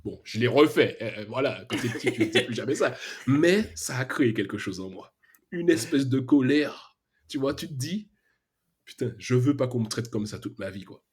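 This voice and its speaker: A man's voice: mid-range voice, lively intonation, normal speed, husky. A young man from Martinique with an Antillean accent, telling his story casually in a podcast interview, with lots of hesitations and slang. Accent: Antillean French. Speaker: podcast guest, freelance web developer.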